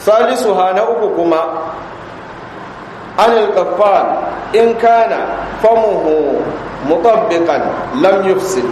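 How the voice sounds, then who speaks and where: A Nigerian Hausa man, an Islamic scholar, teaching a religious text in a didactic way, reading and explaining and putting questions to his listeners. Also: on the high side. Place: large echoing mosque interior. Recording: echoey hall, distant reverb, clear background noise.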